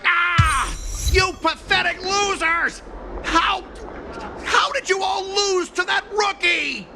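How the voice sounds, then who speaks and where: High-pitched, melodramatic blustering game villain. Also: male voice, slow, vocal fry, over music, exaggerated voice. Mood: furious disbelief. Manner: theatrical, boastful, bursting into shrill shouts. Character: petty blustering male villain, a sore loser. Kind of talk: video game voice acting, melodramatic villain monologue.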